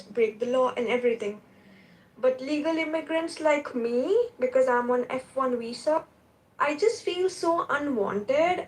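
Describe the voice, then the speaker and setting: Woman, twenties, Indian accent, high voice, pleading and earnest, talking personally in an open discussion. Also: poor audio. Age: 20s. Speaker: discussion participant, graduate student. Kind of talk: casual, personal debate talk.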